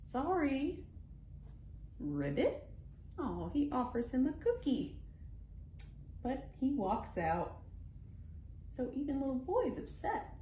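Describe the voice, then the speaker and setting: In an echoey room with some background noise, a woman hosts storytime for small children. She speaks slowly in simple phrases, with an expressive, animated read-aloud delivery. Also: high-pitched. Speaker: children's library storytime host.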